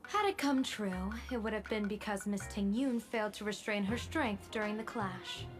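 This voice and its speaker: A woman's voice: medium pitch, expressive, normal speed, lightly acted. A woman voicing a serene, wily female general and seer in a video game, poised and playfully formal. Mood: calm, knowing. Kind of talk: dramatic video game voice acting. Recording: music behind the voice.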